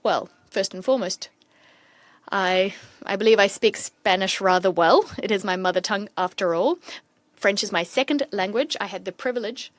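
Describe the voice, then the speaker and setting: A woman giving simultaneous interpretation of formal diplomatic speeches, delivering them steadily in quick bursts between pauses. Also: mid-range voice, no echo.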